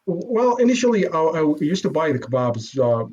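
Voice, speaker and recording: A man from Iraq, a modest interview guest who talks haltingly with many restarts. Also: fairly high pitch, sing-song, a little mellow, breathy edge. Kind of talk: casual interview. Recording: dull lo-fi sound.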